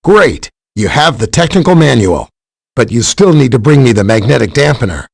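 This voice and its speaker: Earnest scientist hero for a video game, mid-pitched, slightly husky, friendly explainer. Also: male voice, animated, moderate pace. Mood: helpful. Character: helpful, earnest male scientist superhero. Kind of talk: scripted game dialogue, explaining and briefing.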